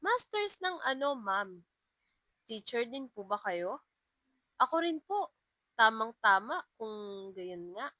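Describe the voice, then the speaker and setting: Woman voicing a courteous, bright-toned male stranger. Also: fairly high pitch, sing-song, slow, long pauses, no room sound, full character voice. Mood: friendly. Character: friendly, courteous male teacher on a motorbike.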